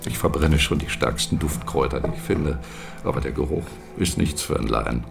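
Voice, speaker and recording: An actor playing a matter-of-fact embalming doctor in a radio drama, bluntly clinical and explanatory, with a gravelly voice. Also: male voice, a bass voice, moderate pace, full character voice. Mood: brisk, clinical. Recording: music behind the voice.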